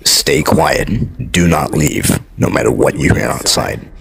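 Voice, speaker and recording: Man acting as stoic super-soldier commander, extremely low pitch, gravelly fry, clipped military orders, sci-fi film dub over music. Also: big pitch swings, slow, full character voice. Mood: stoic, commanding. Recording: loud music behind the voice.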